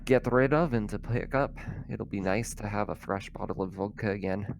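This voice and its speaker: Man, low pitch, game master, improvised narration, casual, hesitant, faint noise. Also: moderate pace, creaky.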